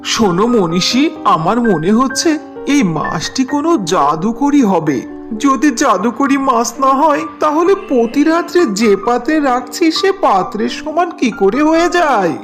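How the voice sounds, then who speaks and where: A kind village fisherman husband from a cartoon story, voiced by a woman. He speaks tenderly and earnestly, with very lively intonation over background music. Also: a little high, unhurried. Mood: puzzled, earnest. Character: gentle, loving fisherman husband in village tale.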